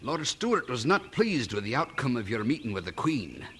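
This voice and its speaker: Friendly scottish nobleman in a radio play, lively pitch, placating, a bit of outdoor noise behind. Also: normal speed. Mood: amiable. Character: mild, conciliatory Scottish lord, male friend of preacher. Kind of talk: radio drama acting.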